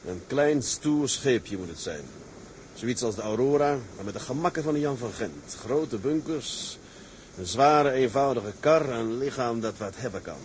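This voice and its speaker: A man's voice: mid-range voice, animated, unhurried, a little hiss or hum, lightly acted. A man playing a resolute, dreamy tug captain in a seafaring drama, speaking in an earnest, declamatory way. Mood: determined. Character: resolute, dreamy male tug captain set on owning a ship. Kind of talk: dramatised seafaring story, scripted acting.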